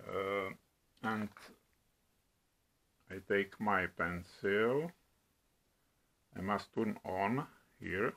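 A middle-aged man from the Czech Republic with a Czech accent, demonstrating products at home in a slow, halting way with many hesitations. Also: lowish voice, vocal fry, fairly bright tone. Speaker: product reviewer. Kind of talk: casual product demo. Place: home room at a table.

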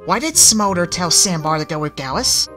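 A woman voicing a blunt young female yak who talks in broken, gruff phrases. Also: lowish voice, lively intonation, normal speed, over music, voice pushed up, natural voice. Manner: gruff, blunt, third-person speech.

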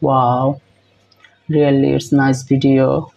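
A young man hosting a reaction video in halting, repetitive English with many fillers, filmed close on a phone in a small room. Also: medium pitch, monotone, gravelly, a little mellow, lo-fi sound. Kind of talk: casual reaction commentary.

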